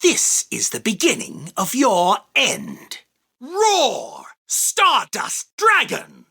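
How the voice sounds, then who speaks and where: Arrogant anime duelist king, boastful, husky and bright-edged, slow grand declamation, game battle lines. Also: very high voice, huge pitch swings, exaggerated voice. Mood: grand, commanding. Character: arrogant, theatrical self-proclaimed king of card duels. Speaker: voice actor.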